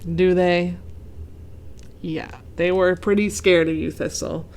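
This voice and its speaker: A young adult woman reading a picture book aloud to children, gently pausing to ask the listeners questions. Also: on the low side, steady tone. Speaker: children's story reader. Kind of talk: read-aloud storytime for kids.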